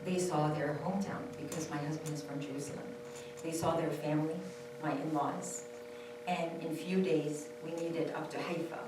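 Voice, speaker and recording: Low-voiced woman, echoey hall. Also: fairly even intonation, normal speed, distant mic, background noise. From Saudi Arabia. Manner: earnest, repeats phrases for stress. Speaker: panelist. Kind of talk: personal testimony at a panel. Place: temple hall.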